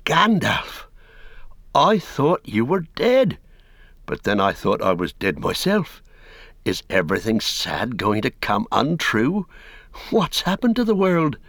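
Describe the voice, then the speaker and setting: A man's voice: low voice, very animated, a little slow, a bit bright, dry recording, clean studio sound, exaggerated voice. A man voicing a loyal young male hobbit servant, eager and overwhelmed with joy. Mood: amazed.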